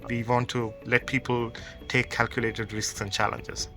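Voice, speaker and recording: A man's voice: medium pitch, little pitch movement, normal speed, pushed and bright, soft background music. A man, answering interview questions as a company co-founder in a thoughtful, conversational way. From Sri Lanka. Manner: thoughtful, conversational, explains with examples.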